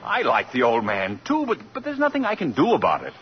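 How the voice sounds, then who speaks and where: A man's voice: mid-range voice, wildly swooping pitch, brisk, some chatter behind. An American man playing an exasperated, blustering district attorney in a 1940s radio drama, speaking with emphatic indignation. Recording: muffled, noisy 1940s radio broadcast.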